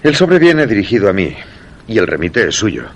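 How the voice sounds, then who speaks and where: An actor with a Castilian Spanish accent and a gravelly voice, playing a quiet, curious husband in a suspense radio drama. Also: male voice, on the low side, wildly swooping pitch, normal speed, slight background noise. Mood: intrigued, uneasy.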